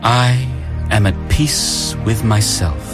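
A man hosting a morning radio show, bantering playfully and reading affirmations slowly and soothingly. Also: on the low side, over music.

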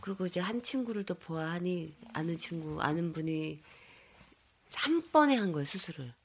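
A woman's voice: fairly low pitch, expressive, moderate pace, husky. A woman guest chatting casually as she tells a personal anecdote. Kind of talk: casual storytelling.

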